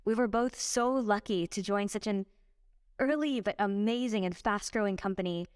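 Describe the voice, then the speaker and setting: A woman's voice: mid-range voice, moderate pace, clean tone, no room sound, clean studio sound. A woman, a startup co-founder, giving fluent, articulate answers in a podcast interview. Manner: articulate, fluent explanations with analogies.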